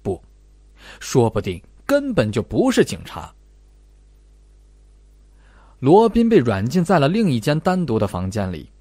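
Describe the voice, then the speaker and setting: A man's voice: medium pitch, wildly swooping pitch, a little slow, pauses often, mellow, dry recording. A man reading a suspense novel aloud as a dramatic scripted narration. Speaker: audiobook narrator.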